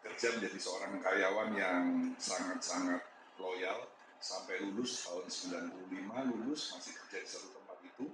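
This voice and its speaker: A man's voice: deep voice, fairly even intonation, moderate pace. A man in his fifties from Malang with a Javanese accent, candidly telling the story of his business to an audience with some chuckles. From Malang, East Java. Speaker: business owner giving a talk. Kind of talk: motivational talk to an audience. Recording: echoey room with background noise.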